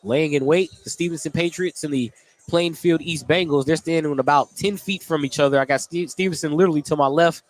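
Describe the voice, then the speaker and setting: A man's voice: a little high, steady tone, brisk. A man doing live play-by-play radio commentary on a basketball game as the announcer. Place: courtside in a gymnasium.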